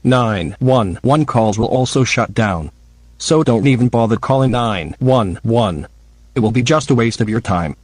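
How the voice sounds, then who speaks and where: A computer-generated man's voice reads out an emergency bulletin from a script. The pitch is average for a man. It sounds close and dry, like an announcer with no room around him. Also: lively intonation, unhurried, darkish tone, no echo. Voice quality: synthetic text-to-speech voice.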